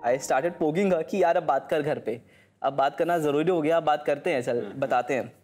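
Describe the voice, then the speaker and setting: A young man from Delhi, a contestant on a couples show, telling their love story casually, with relaxed pauses. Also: on the high side. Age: young adult. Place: indoor TV studio set.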